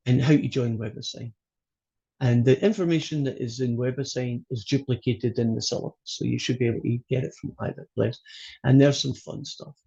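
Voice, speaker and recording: A man's voice: medium pitch, vocal fry, mellow, slightly rough, dull audio. A Scottish man, a college math instructor, introducing his course over a video call in a chatty, joking way. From Scotland. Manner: chatty, joking, loose with fillers. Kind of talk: online class introduction.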